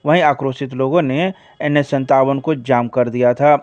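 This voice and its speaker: A man's voice: mid-range voice, fairly even intonation, moderate pace, a little mellow, no room sound, lo-fi sound. A man reading scripted local news reports as a news reader.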